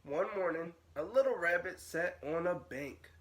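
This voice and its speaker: A man's voice: a little high, lively intonation, normal speed. A male nursery teacher reads a picture book to little kids. His delivery is sing-song, lifting and dropping through the sentences as he tells the tale. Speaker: nursery school teacher reading aloud. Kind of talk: storybook read-aloud for young children.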